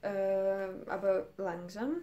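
Slow-talking young woman from Ukraine teaching guitar. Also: mid-range voice, steady tone, muffled recording. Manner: slow, careful non-native speech with hesitations. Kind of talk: guitar technique lesson. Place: small room indoors. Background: classical guitar playing.

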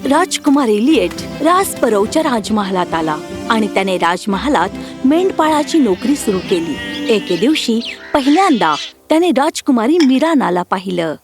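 A woman tells a scripted fairy tale. Her voice is high and very animated in pitch, with music playing clearly behind her. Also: normal speed. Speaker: storyteller narrator.

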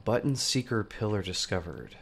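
A man's voice: normal speed, creaky, a little hiss or hum. Stuffy-nosed man talking through a game. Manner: lively greeting, then low muttered thinking aloud while playing. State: stuffy from a cold. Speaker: let's play host. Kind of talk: gameplay commentary. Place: home room with shelves of plush toys, indoors. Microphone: large studio mic on a boom arm.

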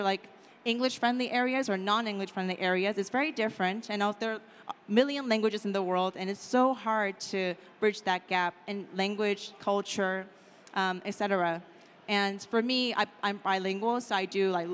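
A woman in her thirties giving a casual conference talk on stage into a handheld mic, chatty and full of "like" and "right?". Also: medium pitch. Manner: chatty, lots of "like" and "right?" fillers. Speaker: conference speaker. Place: conference stage in a large hall. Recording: hall reverb with faint room noise.

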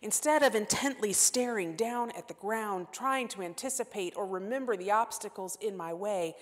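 A woman in her forties giving a sermon as guest preacher, earnest and self-deprecating, moving between emphatic lines and hushed asides. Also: medium pitch, normal speed. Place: church sanctuary. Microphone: lapel mic. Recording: long church-hall reverb.

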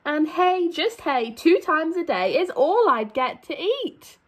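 Young woman, high animated storytime voice. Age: young adult. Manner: expressive, drawn-out emphasis on key words. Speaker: primary school teacher. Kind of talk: children's storybook read-aloud.

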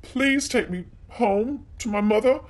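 Man playing an earnest young storybook hero, high-pitched. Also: measured pace. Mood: pleading. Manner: emphatic, earnest.

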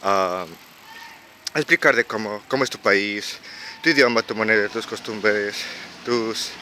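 A young man in his twenties speaks with an Ecuadorian Quito accent, his voice a little low yet bright and forceful. He talks hesitantly, full of fillers and restarts, recorded on a handheld camera while walking outdoors with faint street noise behind. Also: a little slow. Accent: Ecuadorian Spanish (Quito). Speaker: exchange student vlogger. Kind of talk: casual walking vlog. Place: outdoors on quiet residential streets.

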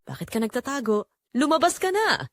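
An obsessed man stalks and coaxes with menace, acted out by a woman narrator. The delivery swings widely in pitch, recorded very dry, in the manner of an audio drama thriller. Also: sing-song, brisk, no room sound. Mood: demanding, obsessed. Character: menacing obsessed male stalker, coaxing and demanding.

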